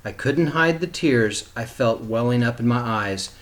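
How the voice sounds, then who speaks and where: A man reads a children's storybook aloud as a teacher would. His medium-pitched voice is very expressive, moving freely up and down. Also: measured pace.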